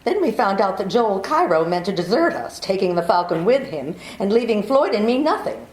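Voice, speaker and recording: A woman's voice: mid-range voice, sing-song, moderate pace, a little mellow, close to an everyday voice. A woman playing a coy, deceitful young femme fatale. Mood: evasive. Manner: breathy, pleading, coy. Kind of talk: 1940s radio acting. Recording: echoey room.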